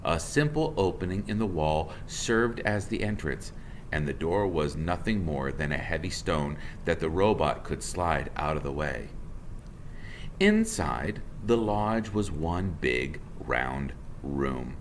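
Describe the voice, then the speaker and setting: A man's voice: extremely low pitch, big pitch swings, slow, slight background noise. A man narrating a children's storybook aloud in the role of a teacher.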